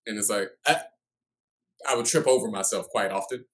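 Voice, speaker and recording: A man co-hosting a podcast, speaking thoughtfully with frequent pauses and restarts. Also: on the low side. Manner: thoughtful, hesitant with many "um"s and restarts. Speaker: podcast co-host. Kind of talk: casual conversational interview.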